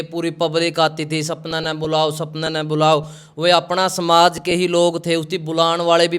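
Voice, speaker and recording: Male interviewer, Haryanvi accent, smooth clear voice on the higher side, conversational live-interview delivery. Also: a bit bright. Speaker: media interviewer. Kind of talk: live call interview in colloquial Hindi.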